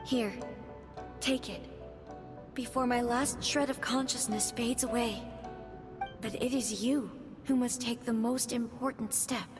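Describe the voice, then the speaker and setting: A woman plays the spirit of a departed goddess in a video game, tender and wistful. The voice is fairly high and takes its time over formal phrases. Music runs clearly underneath. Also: steady tone, measured pace, long pauses, lightly acted. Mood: wistful, caring. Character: gentle, wistful female spirit of a departed deity. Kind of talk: video game drama dialogue.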